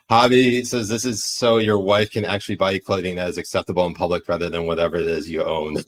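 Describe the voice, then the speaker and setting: A man's voice: low-pitched, little pitch movement, fairly quick, pauses often. A man with a Pakistani accent co-hosting a live political chat show, rambling with many fillers. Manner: rambling, filler-heavy, laughs easily. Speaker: podcast co-host.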